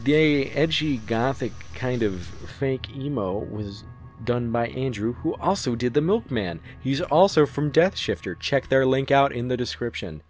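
A man with a mid-pitched voice delivers casual closing credits as the creator. He comes across as eager and a little self-deprecating. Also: animated, measured pace, a little mellow, soft background music. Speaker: creator, writer and director.

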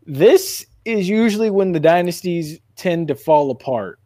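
Mellow male sports podcast host, dry sound. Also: sits high, expressive, a little slow, dry recording. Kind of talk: animated casual sports debate.

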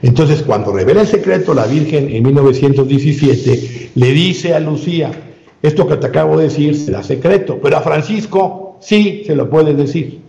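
A man speaking as an interview guest, giving a long measured explanation with frequent filler sounds and restarts. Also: fairly high pitch, animated, vocal fry, dark tone. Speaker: guest researcher. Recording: roomy, dull lo-fi sound.

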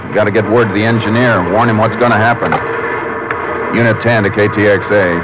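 An actor playing a calm, decisive Texas Ranger, terse and businesslike, in a 1950s radio drama. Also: male voice, fairly low pitch, brisk, natural voice. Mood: formal, clipped. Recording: very noisy old 1950s radio recording.

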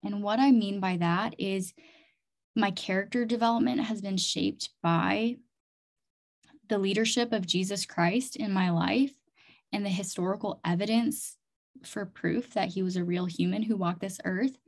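A woman's voice: medium pitch, fairly even intonation, a little slow. Woman, interview guest, mid-range voice, earnest, emphatic, casual filler words like "like" and "literally", personal storytelling. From Chiang Mai, Thailand and North Dakota. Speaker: interview guest, community development leader and leadership coach.

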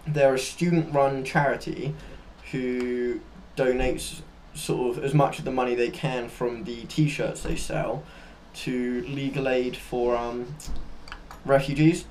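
A man's voice: medium pitch, steady tone, slow, a little mellow, poor audio. A man co-hosting a casual discussion of current affairs, speaking informally and tentatively, often correcting himself. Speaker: co-host. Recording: noticeable background noise.

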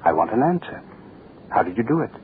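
A man's voice: fairly low pitch, very animated, a little fast. A man playing an imperious, menacing state dignitary in an old radio drama, curt, commanding and pompous. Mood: imperious, demanding. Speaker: actor in a radio play. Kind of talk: 1940s radio horror acting. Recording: tinny old radio recording, faint noise.

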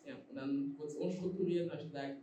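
A woman's voice: low-pitched, steady tone, quick pace, mellow. A young woman from Ghana who grew up in Germany, talking casually as an interview guest and laughing often. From Ghana, raised in Germany. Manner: chatty, laughs often, says "genau" a lot. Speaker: guest, head of an association. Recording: echoey room, far from the mic, faint noise.

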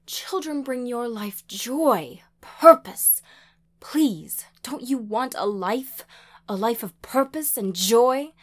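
A woman's voice: a little high, animated, slow pace, pitched above the performer's own voice. A woman voicing a hysterical, bitter, traditionalist mother in an audio story, insistent, wailing and begging. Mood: tearful begging.

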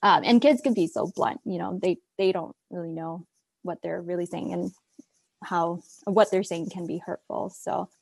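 A woman's voice: fairly low pitch, fairly even intonation, normal speed, creaky, a little mellow, husky, no room sound. A young woman from Minneapolis candidly recounting what classmates said about her. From Minneapolis, USA. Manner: candid, recounts quoted remarks. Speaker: interviewee. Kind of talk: personal account.

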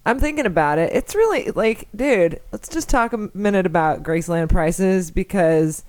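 Low, dry-voiced profane woman. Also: animated, moderate pace, darkish tone, dry recording. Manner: profane, blunt and joking. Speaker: podcast co-host. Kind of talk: casual chat.

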